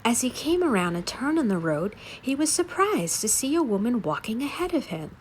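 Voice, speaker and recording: A woman reading spooky folk tales aloud as a story time reader, with dramatic, deliberate delivery. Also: on the high side, sing-song, slight background noise, poor audio.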